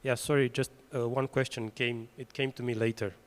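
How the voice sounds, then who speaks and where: A man from Romania asks the panel a question in a medium-pitched voice. He is polite and stops to search for words. The sound carries a long reverb from a large hall. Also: flat delivery, a little fast. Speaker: audience member asking a question. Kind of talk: conference Q&A question. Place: conference hall.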